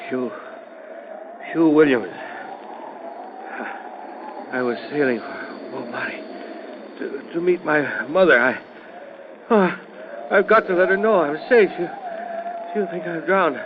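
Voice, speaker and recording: Young shipwreck survivor in a radio play: slow, halting, chilled and spent, on a tinny old broadcast. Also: male voice, on the high side, animated. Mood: dazed, anxious. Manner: halting, gasping, broken phrases. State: shivering, exhausted. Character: young shipwrecked sailor, freezing, exhausted, anxious for his mother. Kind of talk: old-time radio drama acting. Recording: muffled phone-like old radio recording, loud noise.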